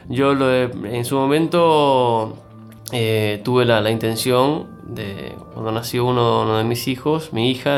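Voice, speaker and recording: A middle-aged man from Cuba with a mixed Cuban and Argentine accent, talking as an interview guest with many fillers and restarts. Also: mid-range voice. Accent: Cuban with Argentine mix. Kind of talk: conversational interview.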